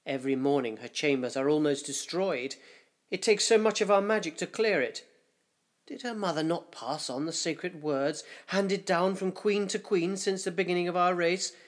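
A man voicing worried old councillors of a dying hive, debating in a fretful, hushed way. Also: high voice, moderate pace, pitched above the performer's own voice, close to an everyday voice. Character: anxious, whispering councillors of a fading hive.

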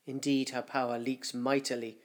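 A man's voice: mid-range voice, little pitch movement, moderate pace, lightly acted. A man voicing fretful old hive councillors. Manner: fretful, hushed debate. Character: anxious, whispering councillors of a fading hive.

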